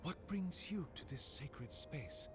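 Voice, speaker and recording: Old devout oracle priest, slow and grave, game voice over music. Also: male voice, fairly high pitch, expressive. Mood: yearning. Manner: grave, measured, archaic phrasing. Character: ancient solemn male oracle priest, lonely, devout.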